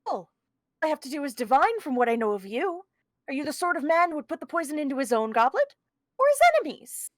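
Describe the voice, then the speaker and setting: A conceited criminal mastermind in a theatrical read. The voice sits high and swings widely in pitch, arguing in a pompous, wordy way. The sound is dry and close. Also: male voice, big pitch swings, fast, fairly bright tone, dry recording, full character voice. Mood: excited, pompous. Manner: pompous, verbose, argumentative. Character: conceited, scheming criminal mastermind holding a hostage. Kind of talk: theatrical table-read performance.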